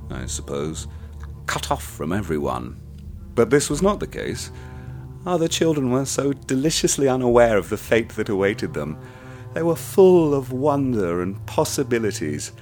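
A cold, sadistic tormentor, voiced by a man in an audio drama, speaking softly and deliberately to his captive. His voice sits very low, and it rises and falls with lively, theatrical swings. Faint music plays beneath him. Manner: soft, measured, silkily menacing. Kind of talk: radio play acting.

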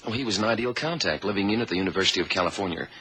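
An actor playing a detective's eager American sidekick in an old radio drama, with a folksy, exclaiming way of talking. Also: male voice, fairly low pitch, racing, sharp tone. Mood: impressed. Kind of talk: 1970s radio drama acting. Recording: faint hiss, old radio recording.

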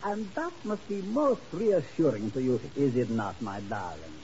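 An actor playing a grandiose, sly baron in a 1950s radio drama, effusive and self-adoring with theatrical endearments. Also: male voice, mid-range voice, big pitch swings, measured pace, loud music behind the voice. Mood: mock sorrow, smug. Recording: hissy, dull vintage radio recording.

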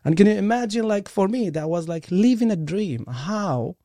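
A man's voice: sits high, lively intonation, moderate pace, warm tone, no echo, clean studio sound. A man with a Moroccan accent and Arabic as his first language, telling his own story casually and earnestly in an interview, peppered with "like" and "you know". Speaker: interview guest, performance coach.

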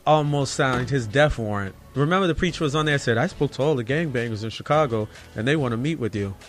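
A man's voice: medium pitch, fast, faint music behind. A man in his thirties trading casual banter in a group talk. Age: 30s.

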